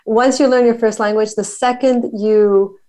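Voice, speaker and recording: A woman's voice: fairly high pitch, steady tone, warm tone. A woman from Montreal, a guest on an interview podcast, talking with enthusiasm and many fillers as she lists places and ideas. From Canada (Montreal). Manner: enthusiastic, talkative, quick listing with fillers. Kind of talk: casual interview conversation.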